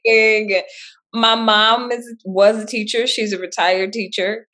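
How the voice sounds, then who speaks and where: A woman's voice: medium pitch, fairly even intonation, unhurried, pushed and bright. A woman from Chicago, a school principal in her thirties or forties, chatting cheerfully in an interview and laughing easily. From Chicago, South Side. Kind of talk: casual interview chat.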